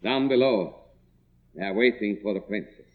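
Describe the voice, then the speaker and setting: An actor playing a devoted servant who directs like a film-maker, commanding and solemn, in 1950s radio drama style. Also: male voice, on the low side, moderate pace, close to an everyday voice. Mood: solemn, coaxing. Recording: dull old radio broadcast, crowd behind. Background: crowd.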